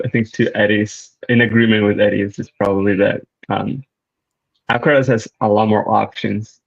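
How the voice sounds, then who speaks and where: A man's voice: on the low side, vocal fry, slightly rough. A man co-hosting a casual tennis chat, hesitant and searching for words. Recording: dull lo-fi mic.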